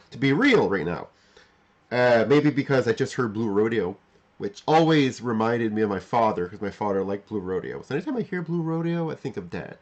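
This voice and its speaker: Middle-aged man with animated, swinging pitch. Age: 40s. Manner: halting, repeats and rephrases phrases, emphatic. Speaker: livestream host. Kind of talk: unscripted reflective monologue to live chat.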